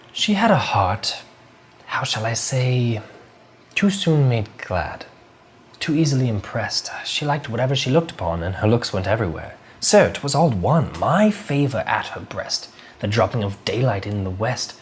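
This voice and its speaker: A haughty, possessive duke, as a man acts him out in a lowish voice, controlled and coldly proud. His delivery is formal and measured, like a stage recitation. A faint hiss sits behind him. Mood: disdainful. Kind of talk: dramatic monologue performance.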